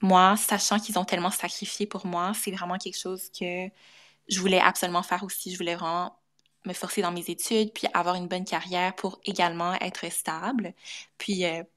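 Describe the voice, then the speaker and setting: A young woman with a Québécois accent, a medical student guest, speaking casually with many pauses and restarts. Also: on the low side, flat delivery, fairly bright tone. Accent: Québécois French. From Canada. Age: young adult. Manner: thoughtful, many fillers and restarts. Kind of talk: casual interview talk.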